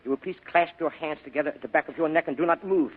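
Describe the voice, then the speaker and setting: A fussy, over-polite villain from an old radio mystery, played by a man. His voice is fairly high and husky, with formal, precise phrasing and quiet menace, on a thin, phone-like vintage recording. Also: quick pace, natural voice. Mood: menacing politeness. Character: polite, fussy, sinister foreign crook with a gun. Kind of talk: 1940s radio drama acting.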